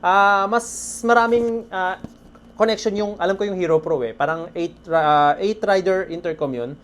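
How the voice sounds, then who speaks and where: An excitable Filipino man chats casually to his vlog audience in an appreciative manner. His voice sits at an ordinary level of brightness, with some ambient noise behind him. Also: sits high, normal speed. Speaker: motorcycle vlogger. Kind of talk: casual vlog talk to camera. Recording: noisy background ambience.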